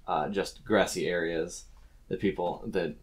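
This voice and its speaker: A man narrating a casual tabletop game as game master, explaining things in a halting way. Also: low-pitched, fairly even intonation, a crowd in the background.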